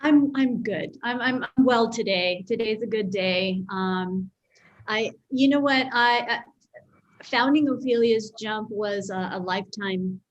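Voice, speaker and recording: Halting woman over lo-fi call audio. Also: mid-range voice, a little hiss or hum. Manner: halting, repeats words, laughs easily. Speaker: theater founder and artistic director. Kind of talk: video-call interview.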